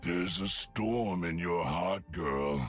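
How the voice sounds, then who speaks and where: An actor playing a menacing, mocking male villain in a video game, drawing out his words with a deep, gravelly voice. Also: soft background music. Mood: brooding. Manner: slow, drawn-out, taunting.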